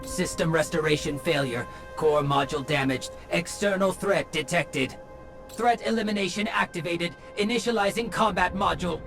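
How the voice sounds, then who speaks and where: An actor voicing a cold machine system in a video game, giving flat, clipped announcements. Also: medium pitch, normal speed, close to an everyday voice. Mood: impassive. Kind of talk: game voice acting. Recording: music behind.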